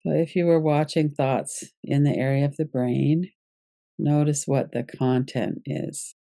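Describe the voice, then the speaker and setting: A woman guiding a yoga nidra meditation as its teacher, giving gentle, unhurried instructions with pauses. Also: deep voice, steady tone, creaky, no echo.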